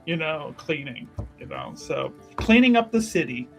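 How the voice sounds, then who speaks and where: A man playing a tabletop roleplaying game, improvising his character's actions in a halting way and repeating phrases for emphasis. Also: a little high, big pitch swings, vocal fry. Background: background music.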